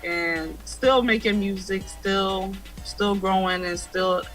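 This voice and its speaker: A woman's voice: mid-range voice, fairly even intonation, slow pace, faint music behind. A young woman in her twenties, a guest musician talking casually and easygoingly over a video call from a room at home. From United States. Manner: easygoing, laughs often. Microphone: video call webcam.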